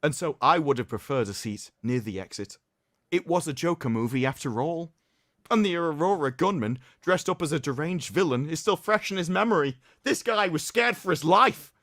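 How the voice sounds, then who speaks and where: A male livestream host delivers a mock-solemn dramatic reading and breaks into sarcastic commentary. His voice swings widely in pitch and is theatrical throughout. It is recorded close and very dry. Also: lively intonation, moderate pace, a bit bright, no room sound.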